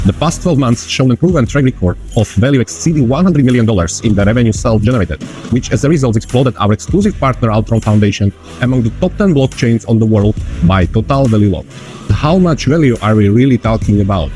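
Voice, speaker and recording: Low-voiced man giving an emphatic sales pitch. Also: dark tone. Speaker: company spokesman. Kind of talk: promotional speech.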